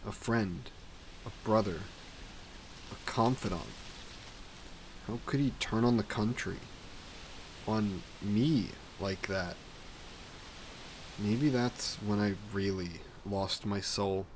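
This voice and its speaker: A man voicing a grieving vampire king who talks slowly and with remorse about his past. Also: on the low side, slight background noise, poor audio. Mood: remorseful. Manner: slow, brooding, remorseful. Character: grieving regretful vampire king, former ruler. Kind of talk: audio roleplay narration.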